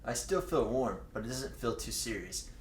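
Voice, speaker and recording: A man's voice: medium pitch, expressive, normal speed. A man doing a casual, joking read-aloud of fan fiction, tossing in teasing asides. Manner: tosses in crude, teasing asides. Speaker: guest co-reader of fan fiction. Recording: roomy echo with clear background noise.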